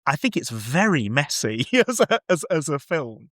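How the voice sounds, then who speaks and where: Man, slightly high pitch, animated delivery, conversational, podcast discussion, dry recording. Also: moderate pace, no echo. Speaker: podcast host. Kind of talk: casual film discussion.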